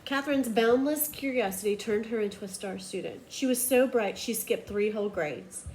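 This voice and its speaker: A woman reading a children's picture book aloud like a teacher, with expressive, emphatic storytelling. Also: a little high, moderate pace, a little hiss or hum, rough recording.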